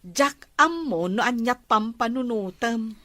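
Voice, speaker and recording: A woman acts an exasperated, protective Filipina friend in an audio drama. The voice is high and swoops widely as she admonishes with emphasis, recorded close and dry. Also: animated, slow, pushed and bright, dry recording, exaggerated voice. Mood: scolding, insistent. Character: exasperated, protective female friend lecturing on self-love. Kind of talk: emotive audio drama acting.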